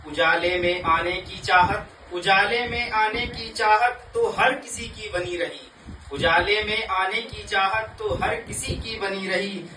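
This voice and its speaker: A man with a high, bright voice declaims poetry at a slow pace, leaning on emphatic pauses. The delivery is dramatic and motivational, picked up from a distance in an echoey space. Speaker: poetry reciter. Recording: echoey, distant mic, faint background noise.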